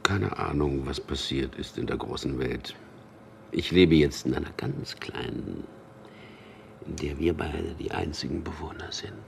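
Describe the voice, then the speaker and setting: A man's voice: rumbling low, a little slow, creaky, a little hiss or hum, heavily acted. An actor playing a cynical man in a radio drama, speaking with dry, intimate irony as he talks to his lover. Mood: playful, intimate. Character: Peter, wry cynical man telling mock news to lover. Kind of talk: German radio drama acting.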